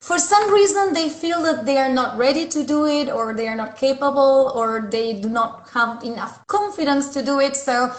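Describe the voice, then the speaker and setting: A woman's voice: sits high, moderate pace, hardly any pauses. A woman in her forties from Argentina with an Argentine accent, an English teacher chatting at home over a video call with lots of fillers. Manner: chatty, many fillers, laughs easily. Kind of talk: casual video call chat. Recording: roomy video call audio.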